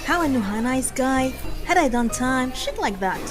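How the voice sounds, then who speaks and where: A woman's voice: sits high, lively intonation, normal speed, over music. A woman acting in an audio drama as a slangy, foul-mouthed undercover cop spinning a street story. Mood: guarded, questioning. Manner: slangy, profane, exasperated storyteller. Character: male undercover cop rehearsing a foul-mouthed pot dealer's anecdote.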